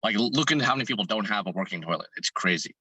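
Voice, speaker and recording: A hoarse man answers an interviewer earnestly, working his thoughts out aloud with frequent restarts. His voice is bright and forward despite the hoarseness, recorded dry and close with no room sound. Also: lowish voice, expressive, racing, no echo, low-quality recording. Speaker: fitness content creator and business owner. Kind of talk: interview guest answering questions.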